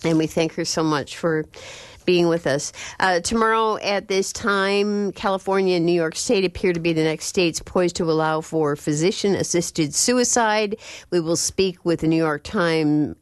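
A woman hosting a radio call-in show, briskly moving between callers and wrapping up. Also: fairly low pitch, no echo. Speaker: radio talk show host.

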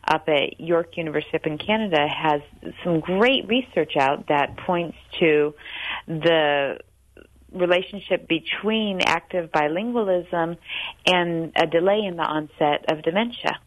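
A woman's voice: low voice, no room sound, highs cut off. A woman professor, a guest expert on a radio show, explaining research with enthusiasm.

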